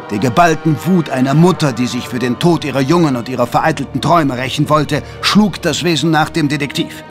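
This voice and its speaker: A man narrating an audio drama in a dramatic, scripted storytelling style. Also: medium pitch. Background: dramatic music.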